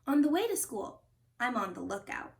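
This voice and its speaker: A woman hosting a storytime, reading a children's picture book aloud with expressive, deliberate phrasing. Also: on the high side, vocal fry. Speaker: storytime host and reader.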